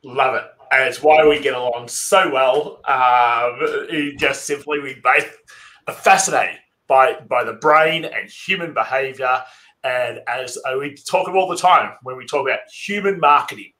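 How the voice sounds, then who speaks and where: Bright-voiced Australian podcast host. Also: male voice, mid-range voice, moderate pace, rough recording. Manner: enthusiastic, conversational with fillers. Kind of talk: podcast interview.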